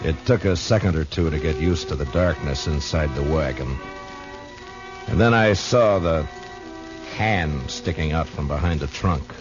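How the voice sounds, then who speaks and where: An actor playing a steady, laconic American frontier marshal in a terse, understated 1950s radio drama style. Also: male voice, extremely low pitch, measured pace. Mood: dry, measured. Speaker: radio drama lead and narrator. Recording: muffled vintage radio recording, music.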